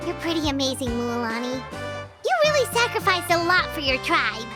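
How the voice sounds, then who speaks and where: A woman voicing a tiny, cheerful fairy-like girl companion in an anime-style video game, squeaky and childlike. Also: big pitch swings, brisk. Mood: admiring. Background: music.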